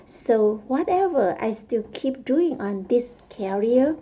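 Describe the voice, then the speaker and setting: A woman with a Thai accent, speaking English as a second language in a casual practice vlog, halting and searching for words with frequent restarts. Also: fairly high pitch, expressive, dark tone. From Thailand. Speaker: vlogger.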